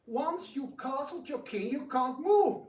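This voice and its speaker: A man's voice: very high voice, lively intonation, a little slow, a little mellow. A man playing a proud, scheming gambler prince in a dramatic reading, theatrical and smug, with feigned politeness. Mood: indignant. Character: proud scheming prince, sly chess gambler, sore loser. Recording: roomy distant microphone, faint noise.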